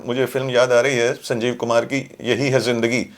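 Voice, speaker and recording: A man's voice: medium pitch, flat delivery, moderate pace. A man interviewing casually, often interjecting to repeat and sum up points.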